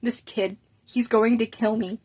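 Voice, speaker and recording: A woman's voice: on the high side, fairly even intonation, a little slow, a little mellow, husky. Woman as a weary, stern male schoolteacher. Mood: despairing.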